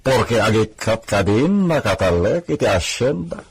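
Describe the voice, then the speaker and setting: A man's voice: lowish voice, very animated, moderate pace, breathy edge, heavily acted. A man playing a haughty, hot-tempered Spanish-styled hacienda owner in a radio drama, with a domineering, scornful manner. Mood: scornful, brooding. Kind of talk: radio drama acting in Ilocano. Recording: noisy radio drama audio.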